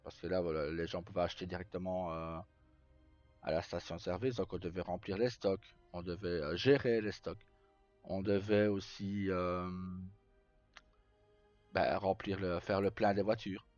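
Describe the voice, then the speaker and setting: A man live streaming, casually telling roleplay stories in a rambling, halting way with pauses mid-sentence. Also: sits low, fairly even intonation, a little mellow. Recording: slightly muffled, roomy, faint music. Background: background music.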